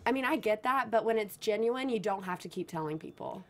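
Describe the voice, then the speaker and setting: A woman's voice: mid-range voice, expressive, a little fast. Woman on a tv recap panel, frank opinions, does little impressions of people. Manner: candid, opinionated, mimics people. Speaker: co-host. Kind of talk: casual chat.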